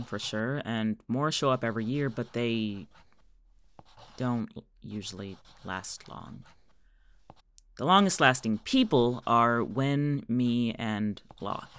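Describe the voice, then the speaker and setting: A man's voice: mid-range voice, animated, a little slow, fairly bright tone. An actor playing a guarded, sarcastic male college student in a fiction podcast, with a dry, curt manner. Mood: matter-of-fact.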